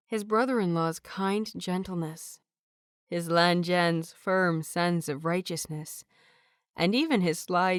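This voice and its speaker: A woman narrating a fanfiction audiobook in a steady storytelling voice. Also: on the low side, no room sound, clean studio sound.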